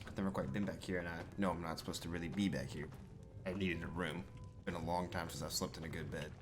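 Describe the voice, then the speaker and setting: A man's voice: low-pitched, steady tone, quick pace. A man role-playing his character in a video game, improvising casual, polite commentary as he plays. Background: game music.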